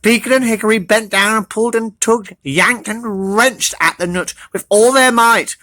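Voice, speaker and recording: A storybook reader narrating for children in an animated style. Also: medium pitch, moderate pace, dry recording, clean studio sound.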